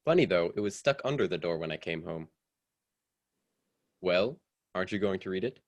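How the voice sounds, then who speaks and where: A low-pitched, proper husband in a radio drama, played by a man. He talks in a measured, mildly condescending way, like someone ambitious and careful of appearances. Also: animated. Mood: mild disapproval. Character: proper, cautious, ambitious husband with political hopes. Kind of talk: old-time radio drama acting.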